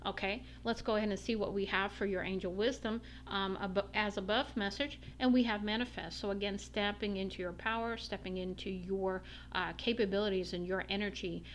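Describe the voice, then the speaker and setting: A woman giving a casual tarot reading, her voice slightly raspy from a long day, meandering with many ums and uhs. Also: mid-range voice, fairly even intonation, a little fast, hardly any pauses, slight background noise. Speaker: tarot reader.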